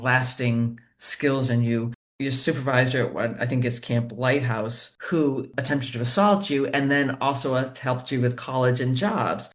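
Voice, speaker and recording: A man interviewing an author, asking long, earnest questions with many pauses and restarts. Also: mid-range voice.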